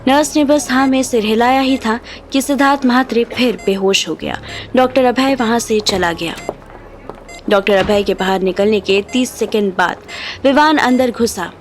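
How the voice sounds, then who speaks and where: A woman's voice: a little high, normal speed. A woman reading scripted narration as the storyteller of an audio drama. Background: background music.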